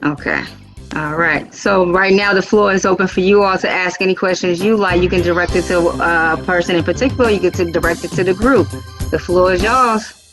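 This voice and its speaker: A woman's voice: on the low side, a little fast, music in the background. A woman in her thirties hosting a casual group video call, upbeat and chatty, stretching out her words. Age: 30s. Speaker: host.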